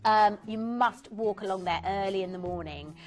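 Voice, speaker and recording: A woman's voice: mid-range voice, moderate pace, soft background music. Chatty woman presenting cruises. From England. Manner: chatty, enthusiastic, describing destinations with fillers. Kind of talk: TV shopping channel presenting. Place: TV studio.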